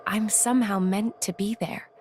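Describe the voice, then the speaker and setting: Woman acting a gentle, serene young woman. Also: mid-range voice, steady tone, normal speed, slight background noise, close to an everyday voice. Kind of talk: video game cutscene acting.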